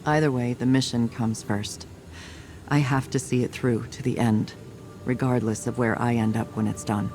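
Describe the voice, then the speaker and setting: A man's voice: medium pitch, steady tone, moderate pace, darkish tone, soft background music, close to an everyday voice. A man playing a weary, haunted soldier who reflects in a subdued monologue in a video game. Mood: resolute. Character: weary, haunted soldier confiding doubts, resolute about duty. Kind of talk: scripted game dialogue.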